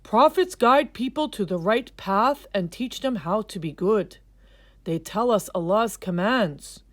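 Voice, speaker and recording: A kindly, wise father from a children's story, voiced by a woman, explaining things to his son. The delivery is instructive and emphatic, with plenty of movement in the pitch and a dry, close sound. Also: animated, a little slow, dry recording. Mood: patient teaching.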